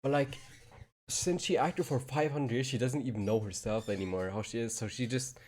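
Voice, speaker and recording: A woman giving casual, excitable live reaction commentary on a video game, often repeating her phrases. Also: very deep voice, moderate pace.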